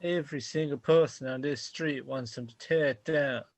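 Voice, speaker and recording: A man's voice: mid-range voice, moderate pace, no echo, close to an everyday voice. A man playing a matter-of-fact husband explaining bad news in an amateur radio play.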